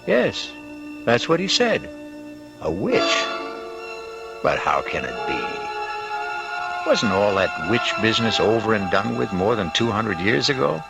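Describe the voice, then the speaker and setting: A man narrates an old-time radio mystery over loud music. His voice is lowish and gravelly, swooping slowly through suspenseful, theatrical phrases. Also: huge pitch swings. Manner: suspenseful, dramatic pauses and emphasis. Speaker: host and narrator.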